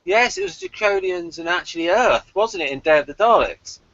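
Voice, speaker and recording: A man with a high, bright, forceful voice and lively swings of pitch co-hosts a casual review chat. He argues his case with enthusiasm and puts questions to the others. The sound is dry and close. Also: fairly quick, gravelly, no echo, highs cut off. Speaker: podcast co-host leading questions.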